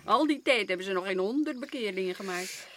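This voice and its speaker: An actress playing a colonial official's reproachful, exasperated wife in a radio play, chiding and articulate. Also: female voice, mid-range voice, expressive, fairly quick, natural voice. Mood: dry, frank.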